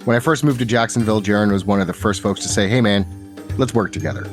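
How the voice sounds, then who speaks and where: A man with a fairly low voice hosts a tabletop roleplay show as its dungeon master, moving between a bright announcer-style welcome and a steady story recap. Music plays clearly beneath him. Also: fast talker. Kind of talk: podcast intro and recap narration.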